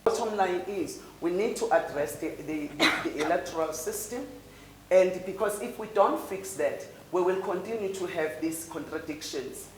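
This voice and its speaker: A woman makes her point on a panel in an emphatic way, stumbling a little over her words. Her voice is lowish. The room echoes, with faint clicks in the background. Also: normal speed, a little hiss or hum. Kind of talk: panel discussion.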